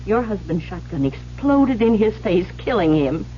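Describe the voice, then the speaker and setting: An actress playing a bitter, obsessive secretary turned blackmailer in an old radio thriller, accusing and melodramatic. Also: female voice, medium pitch, big pitch swings, normal speed, close to an everyday voice. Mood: cold, menacing. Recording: dull, noisy old radio broadcast.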